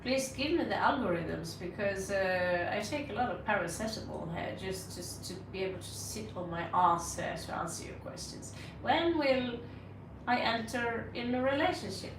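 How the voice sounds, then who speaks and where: A woman's voice: mid-range voice, moderate pace, distant mic. A woman with a Norwegian accent hosting a tarot livestream, talking casually and bluntly to her chat, with sarcastic asides. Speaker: tarot reader livestream host. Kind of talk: casual live-stream chat answering viewers. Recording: echoey room, mic set back, audible noise.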